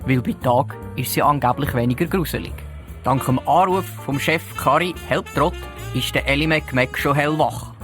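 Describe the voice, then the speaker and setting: A male narrator tells an audio-drama story in a Swiss German accent. His voice sits at a medium pitch, and music plays clearly behind him. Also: normal speed.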